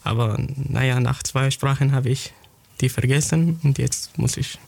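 A teenage boy from Syria answers interview questions in careful, halting German, often searching for the next word. His voice has a gravelly creak, and the sound is dry and close with no room echo. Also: mid-range voice, darkish tone. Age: teens. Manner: halting, searching for words, non-native grammar. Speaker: young student writer interviewed.

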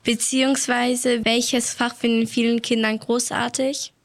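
A woman asking prepared interview questions for a class radio show, in an even reading tone. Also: on the high side, measured pace. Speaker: pupil interviewer.

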